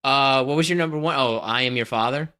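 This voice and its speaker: A man's voice: medium pitch, brisk, bright, forceful tone. A man co-hosting a casual movie podcast, bantering in an opinionated, emphatic way and needling his co-host.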